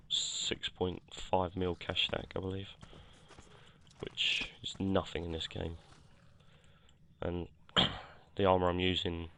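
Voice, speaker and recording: Croaky low-voiced man. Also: a bit bright. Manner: rambling, self-correcting, many fillers. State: croaky from a cold. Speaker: gameplay commentator. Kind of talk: casual game commentary.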